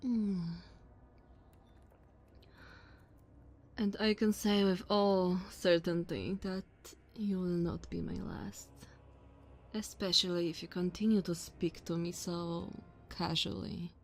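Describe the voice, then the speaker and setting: Woman doing a tall vampire noblewoman for asmr roleplay, really slow and drawn out, haughty, bossy, mocking, talks all formal. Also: on the low side, steady tone. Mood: condescending. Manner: slow, condescending, theatrical formal diction.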